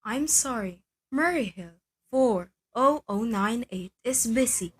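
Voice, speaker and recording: A woman plays a polite switchboard operator in an amateur radio play. Her voice is fairly high and her delivery formulaic and detached. There is faint noise on the recording. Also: expressive, takes it slowly.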